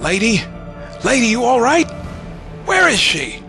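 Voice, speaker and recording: A man's voice: very high-pitched, wildly swooping pitch, a little slow, bright, forceful tone, faint music behind, exaggerated voice. Man acting brusque American WWII squad leader. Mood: gruff, impatient. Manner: gruff 1940s slang, calling women lady and toots. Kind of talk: audio drama acting.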